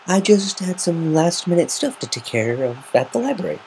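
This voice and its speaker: An earnest, motherly young female unicorn from a story, acted out by a man. The voice is pushed up in pitch and speaks gently, explaining with care. The homemade recording has a little noise. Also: big pitch swings, normal speed. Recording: noisy amateur home recording.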